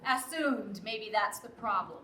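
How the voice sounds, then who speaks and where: A woman plays a weary, bitter wife in a naturalistic drama. Her voice is high and sharp, with very lively pitch movement. It is recorded in an echoey space with background noise. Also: measured pace. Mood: sullen, irritated. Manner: emotional, swinging from quiet brooding to outbursts. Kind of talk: naturalistic relationship drama acting. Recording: roomy echo, audible background noise.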